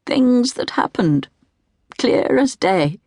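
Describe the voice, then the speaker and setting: A woman's voice: a little high, animated, slow pace, dark tone, dry recording, clean studio sound, voice pushed up, exaggerated voice. A woman voicing a proud, prickly elderly female academic who corrects people in a pointed, formal manner in an audiobook. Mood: insistent.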